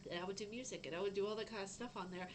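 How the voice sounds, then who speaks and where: A young woman with a fairly low voice, chatting casually on a gaming news stream and reading out news, rambling with lots of fillers. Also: racing, background noise. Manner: chatty, rambling, frequent fillers and self-interruptions. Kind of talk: casual live-stream chat.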